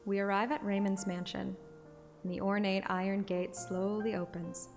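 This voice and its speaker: A woman's voice: medium pitch, close to an everyday voice. An actress playing a playful, plucky young woman hunter, narrating and voicing a first-person game story expressively. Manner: expressive, teasing. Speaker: player-character narrator. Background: background music.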